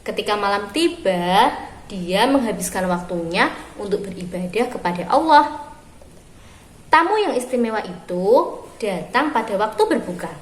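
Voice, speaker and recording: A woman reading a story aloud for young children, phrasing expressively and deliberately with pauses. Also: mid-range voice, lively intonation. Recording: roomy echo with clear background noise.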